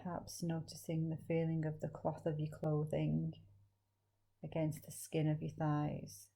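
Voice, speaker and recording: A woman leading a guided meditation as a teacher, speaking slowly and gently with long, soothing pauses. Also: low-pitched, completely flat delivery, gravelly, low-quality recording.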